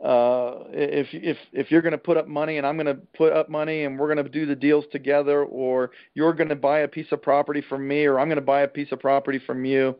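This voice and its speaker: A man giving an informal real estate trainer's talk over a conference call, plain-spoken and emphatic, with a salesman's folksy pitch. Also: flat delivery, fairly quick, few pauses. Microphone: telephone conference call. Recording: dull, band-limited conference call line.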